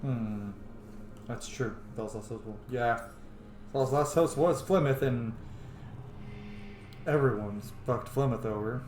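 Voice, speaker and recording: A man's voice: mid-range voice, a little slow, pauses often, darkish tone, a little hiss or hum. Echoey male gamer thinking aloud. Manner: informal, sweary, thinking aloud. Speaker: gaming streamer. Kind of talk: casual game playthrough commentary. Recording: roomy echo on voice. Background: faint game music.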